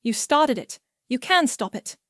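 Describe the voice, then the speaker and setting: A woman's voice: sits high, lively intonation, a little slow, fairly bright tone, dry recording, clean studio sound. A woman reading the lines of a furious middle-aged husband who accuses and demands in a raised voice. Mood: demanding. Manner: angry, pressing, raised voice.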